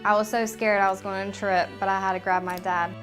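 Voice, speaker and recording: Sentimental bride narrating over soft music. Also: female voice, mid-range voice, level tone, fairly quick. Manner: warm, sentimental. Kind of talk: voice-over recollection.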